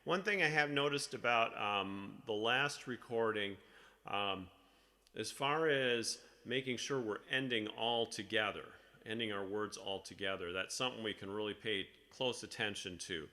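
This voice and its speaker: A man leading a choir rehearsal in a casual, halting, self-deprecating way, with a slightly crackly voice. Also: on the low side, pushed and bright, long reverb tail. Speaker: choir director. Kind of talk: casual rehearsal instruction over a video call.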